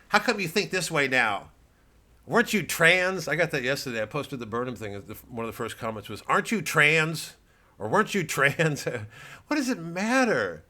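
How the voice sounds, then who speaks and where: A man's voice: mid-range voice, bright, forceful tone. A man chatting casually to camera to promote his show, nervous and rambling, self-mocking, with many restarts. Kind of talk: casual direct-to-camera chat.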